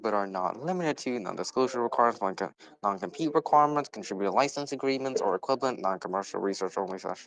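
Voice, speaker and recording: A man's voice: medium pitch, rough recording. A man reading a technical policy document aloud, stumbling over words and repeating phrases as he goes. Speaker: reader.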